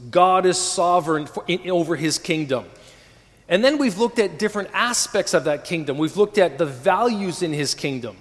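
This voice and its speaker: A man in his forties with a high voice preaches earnestly to his congregation. He calls on them to answer back, and his words ring with the long reverb of a church hall. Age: 40s. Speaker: pastor. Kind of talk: sermon.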